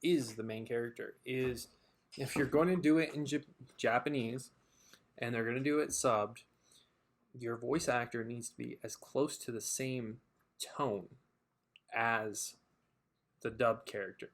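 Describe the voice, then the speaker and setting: A man's voice: mid-range voice, steady tone, unhurried, pauses often. A man co-hosting a casual chat, rambling and hesitant with lots of fillers and restarts. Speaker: podcast co-host.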